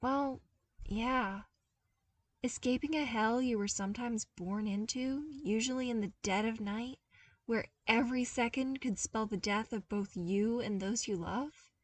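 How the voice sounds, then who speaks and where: A woman plays an earnest, teasing young man in an audio story. His voice is pitched up and animated, heard a little way off from the mic. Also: a little slow, recorded from a distance. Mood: sympathetic, earnest. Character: playful, earnest young man, a seasoned smuggling runner.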